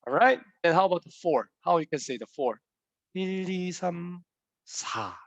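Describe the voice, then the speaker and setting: A man's voice: high voice, animated, a little fast, gravelly, fairly bright tone, no echo. A young man from Korea with a Korean accent, a native Korean speaker teaching a language lesson in English, playful and quizzing his viewers with repeated questions.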